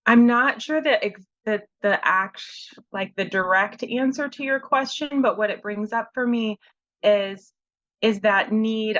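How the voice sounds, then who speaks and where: A woman's voice: medium pitch, fairly bright tone, dull audio. A woman speaking hesitantly and reflectively, searching for words over a video call. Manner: searching, hesitant, many fillers. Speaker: conversation participant. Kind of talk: personal reflective talk.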